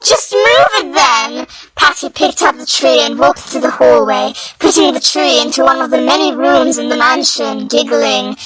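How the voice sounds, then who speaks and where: Bright, high-voiced woman reading a story. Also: expressive, moderate pace, creaky, hardly any pauses, low-quality recording. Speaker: fanfiction reader. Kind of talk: dramatic scripted story narration.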